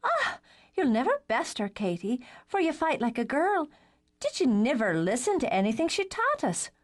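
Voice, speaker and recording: Woman doing a prim, soft-hearted teen girl. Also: high-pitched, huge pitch swings, moderate pace, pitched above the performer's own voice, full character voice. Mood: teasing.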